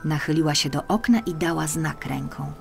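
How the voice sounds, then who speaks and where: A woman narrating a children's fairy tale as an audiobook reader, expressive and deliberate, over music. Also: deep voice, vocal fry.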